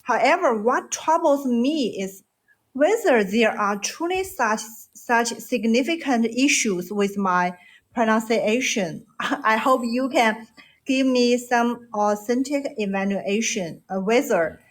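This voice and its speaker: A woman's voice: a little high, expressive, slow pace. A woman from China with a Chinese accent, speaking English in a casual chat, hesitant with many fillers and restarts. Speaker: caller on a live English voice room.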